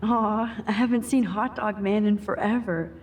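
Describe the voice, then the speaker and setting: A woman voicing an anxious, introspective teenage girl in a video game, speaking softly and often half-whispering to herself. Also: medium pitch, steady tone, normal speed, slight background noise, natural voice. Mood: wistful. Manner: soft, breathy, half-whispered musing. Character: anxious, soft-spoken teenage girl student, introspective time traveler. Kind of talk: video game acting, inner monologue and dialogue.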